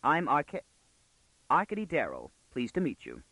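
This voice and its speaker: A man's voice: a little high, wildly swooping pitch, moderate pace, gravelly, sharp tone, slightly rough, no room sound, voice pushed up, heavily acted, rough recording. A man voicing a precocious, self-assured young girl in a storybook, prim, bossy and easily indignant.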